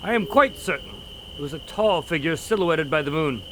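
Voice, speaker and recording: A man playing an earnest, observant doctor who investigates a case, speaking in a measured, explanatory way in an audio drama. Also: a little high, animated, noisy background, lightly acted.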